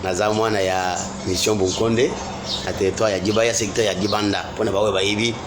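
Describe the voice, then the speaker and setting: A Congolese lawyer being interviewed, speaking in a measured, hesitant way with many fillers. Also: male voice, fairly low pitch, level tone. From Congo (Kasai Central). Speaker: lawyer guest. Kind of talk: interview answers. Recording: clear background noise.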